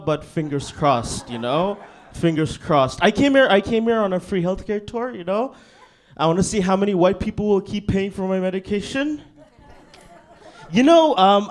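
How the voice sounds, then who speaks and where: Young male stand-up from Bangladesh, a little higher-pitched than most men, deadpan and laid-back, working a club mic. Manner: laid-back, deadpan, frequent "you know what I mean". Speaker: stand-up comedian. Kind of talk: stand-up set. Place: comedy club stage.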